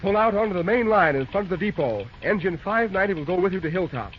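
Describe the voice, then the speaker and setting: An actor playing a brisk, determined young railroad dispatcher with an American accent, giving clipped, urgent lines in an old-time radio drama. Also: male voice, high voice, lively intonation. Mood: commanding. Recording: dull, noisy vintage radio recording.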